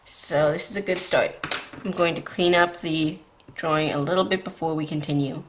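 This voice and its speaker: Low-voiced woman with a tired, scratchy sound. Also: fairly even intonation, normal speed. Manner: patient, beginner-friendly explaining. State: tired, scratchy voice from staying up late. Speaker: drawing tutorial host. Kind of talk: step-by-step drawing lesson.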